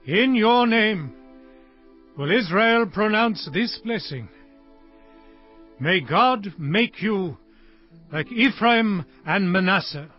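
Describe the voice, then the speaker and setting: An old Hebrew patriarch in a scripture drama. He declaims solemnly and very slowly, in a fairly high voice for a man. Music plays softly behind him. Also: expressive, full character voice. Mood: firm, solemn. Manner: solemn, deliberate, grandly pronouncing. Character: frail aged Hebrew patriarch solemnly blessing his sons. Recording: muffled lo-fi audio under music.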